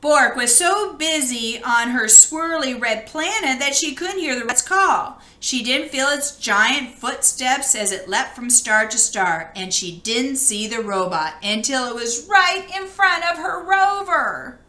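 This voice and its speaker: A woman reading a children's storybook aloud in an expressive narrating style. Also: high-pitched, measured pace, hardly any pauses, echoey room, slight background noise. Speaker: storybook reader.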